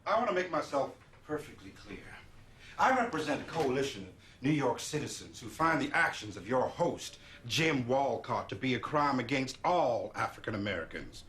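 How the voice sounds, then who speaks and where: A man's voice: medium pitch, sing-song, moderate pace, a bit bright, a little hiss or hum. An actor playing a stern, indignant activist leader, formal and emphatic as he makes demands in a film drama. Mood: indignant, firm.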